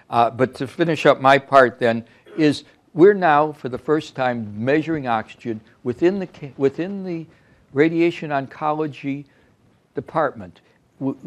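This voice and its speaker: An older man presenting research to an audience in a conference room, talking with many fillers and restarts. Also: medium pitch. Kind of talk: scientific talk at a reception.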